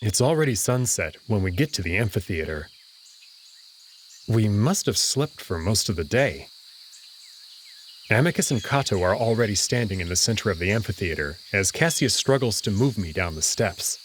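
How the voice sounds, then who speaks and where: A man's voice: fairly low pitch, expressive, normal speed, a little hiss or hum. A man reading dramatic first-person narration from a visual novel. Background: music.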